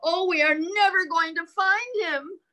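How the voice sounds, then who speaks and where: Woman voicing a glum little storybook puffin, bright, plaintive. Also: very high voice, measured pace, voice pushed up, heavily acted. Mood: sad. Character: small sad male puffin.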